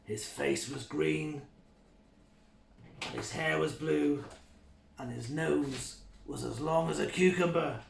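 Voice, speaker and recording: A man voicing a big tough billy goat father from a storybook, telling tales in a fatherly, measured way in an echoey room. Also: fairly high pitch, creaky, a little hiss or hum, heavily acted. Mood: teasing storytelling. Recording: roomy, echoing sound.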